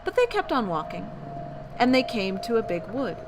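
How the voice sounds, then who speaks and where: Theatrical woman storyteller with lively, swooping pitch. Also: moderate pace, background noise. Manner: theatrical, suspenseful. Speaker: storyteller, host of a bedtime story series. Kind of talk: dramatic storybook narration of scary tales. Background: background music.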